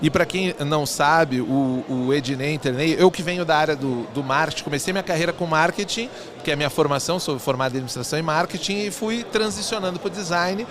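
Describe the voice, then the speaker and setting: A man's voice: fairly high pitch, fast talker, few pauses, crowd noise. A man from southern Brazil, hosting a podcast interview in a chatty, enthusiastic way with rambling questions. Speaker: podcast host. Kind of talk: casual interview chat.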